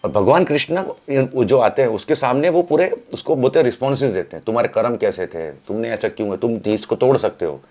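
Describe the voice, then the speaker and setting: A man's voice: medium pitch, fast talker, hardly any pauses, a little mellow. A man speaking as an interview guest, telling stories conversationally and explaining with rhetorical questions and acted-out examples. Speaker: interview guest from the film industry. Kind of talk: animated storytelling in casual conversation.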